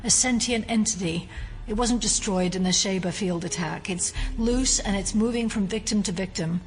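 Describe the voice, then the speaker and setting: An actress playing a level-headed, sceptical FBI investigator in an audio drama, with a measured, serious delivery. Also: female voice, medium pitch, faint music behind, natural voice, rough recording. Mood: urgent, grave.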